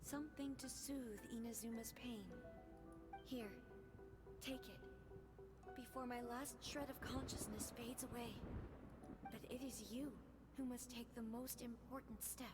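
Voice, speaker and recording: A woman voicing a gentle, wistful spirit of a departed goddess in a fantasy game, with an unhurried, poetic and solemn delivery. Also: a little high, steady tone, over music, natural voice. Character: gentle, serene female spirit of a departed deity, tender and wistful. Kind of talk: scripted fantasy game voice acting.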